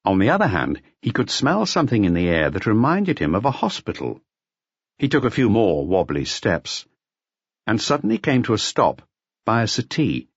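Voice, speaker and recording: A man reading a mystery audiobook in an expressive, dramatic storytelling manner. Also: lowish voice, moderate pace, husky, no room sound, muffled recording. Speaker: audiobook narrator. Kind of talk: scripted mystery audiobook narration.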